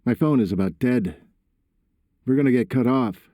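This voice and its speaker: A man's voice: mid-range voice, moderate pace, mellow, no room sound, clean studio sound, voice pushed up, lightly acted. A man voicing a worried father in an audiobook, stern but caring, earnest and urgent. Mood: impatient.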